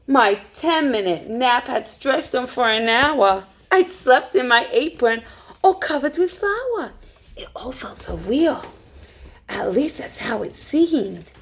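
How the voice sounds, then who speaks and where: A woman storytime teacher reading a rhyming picture book aloud to young children in an expressive way. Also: fairly high pitch, takes it slowly. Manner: expressive, hushed suspense, asks listeners questions.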